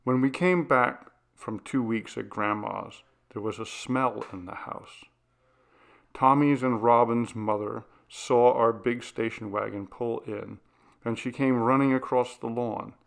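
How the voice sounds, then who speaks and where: A man's voice: mid-range voice, steady tone, a little slow, gravelly. A man narrating a scripted ghost story as an audiobook reader.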